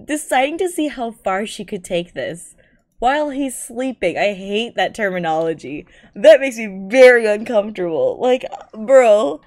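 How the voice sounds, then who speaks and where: A woman's voice: fairly high pitch, expressive, a little slow, a little mellow. A young woman, a non-native English speaker, reading aloud and commenting casually as a streamer, slangy, profane, giggly and exasperated. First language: not English. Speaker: streamer reacting to fan fiction.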